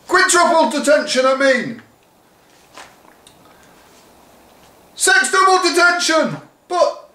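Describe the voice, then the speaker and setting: A man's voice: sits high, a bit bright, voice pushed up. A man shrieking as a tyrannical old schoolmistress. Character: furious bossy older woman deputy head teacher. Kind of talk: storybook reading. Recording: roomy echo.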